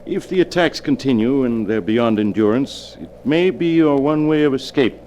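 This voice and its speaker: A cold, calculating scientist , voiced by a man in a 1940s radio drama. He speaks in a measured, deliberate way, and his pitch swings widely. A faint hiss sits under the voice. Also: expressive, a little mellow, close to an everyday voice, low-quality recording. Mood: cool.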